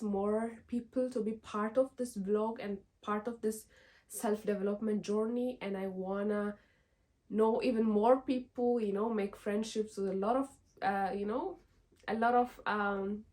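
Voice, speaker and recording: A woman's voice: mid-range voice, a little mellow. Earnest woman vlogging. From Ethiopia. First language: Amharic. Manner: earnest, encouraging, many fillers like "like" and "you know". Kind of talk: casual self-help vlog.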